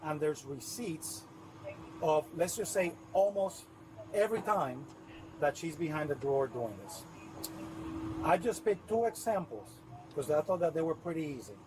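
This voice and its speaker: A man's voice: fairly high pitch, lively intonation, normal speed, pauses often, background noise. A man with a Puerto Rican accent, a police officer patiently explaining a case step by step at a front door. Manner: patient, detailed, methodical. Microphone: body camera.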